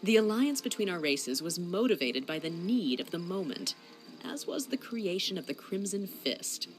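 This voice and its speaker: A woman's voice: on the low side, very animated, normal speed, faint music behind. A woman playing a grave, pragmatic senior inquisitor in a fantasy game cutscene. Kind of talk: scripted video game cutscene acting.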